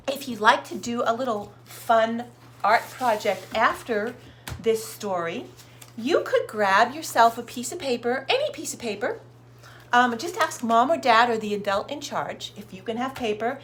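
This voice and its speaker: A woman's voice: fairly high pitch, a little hiss or hum. A woman hosting children's storytime, reading expressively to young listeners and addressing them directly. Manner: slow, expressive, sounds out words and asks listeners questions. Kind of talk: picture book read-aloud for young children.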